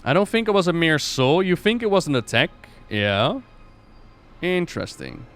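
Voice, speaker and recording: A man giving casual, excited and conversational reaction commentary as a gaming lore creator. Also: a little high, normal speed.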